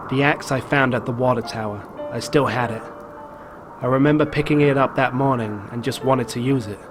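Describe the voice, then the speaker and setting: A man's voice: mid-range voice, lightly acted. A man playing a haunted, guilt-ridden middle-aged father narrating a horror story in a game. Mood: dazed confessing. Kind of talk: dramatic first-person story narration.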